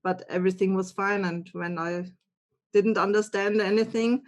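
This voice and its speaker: A woman from Austria, a guest author talking in halting with many restarts and light laughter. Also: medium pitch, fairly even intonation. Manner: halting non-native English, frequent laughs and restarts. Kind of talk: interview conversation.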